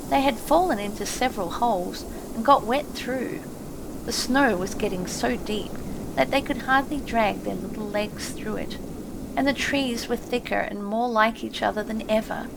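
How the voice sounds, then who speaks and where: High, creaky-voiced woman storyteller. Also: normal speed. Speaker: audiobook narrator. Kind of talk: expressive scripted story narration. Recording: clearly noisy, ambient effects behind. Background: ambient sound effects.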